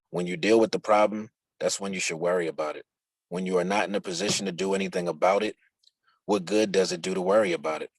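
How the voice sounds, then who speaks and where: A man with a husky, gravelly voice reading a written instructional dialogue aloud. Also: deep voice, no room sound. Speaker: reader of a book chapter.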